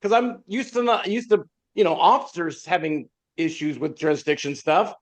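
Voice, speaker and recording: An American man with a noticeably high voice chats casually on a panel. He tells stories conversationally, voicing the people he quotes. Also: animated, moderate pace. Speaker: podcast panelist, leadership instructor. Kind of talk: casual chat with anecdotes.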